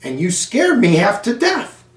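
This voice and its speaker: A man voicing a small, eager, excitable pet hamster in a children's storybook, squeaky and pleading. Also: a little slow, heavily acted. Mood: indignant. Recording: roomy echo, distant microphone.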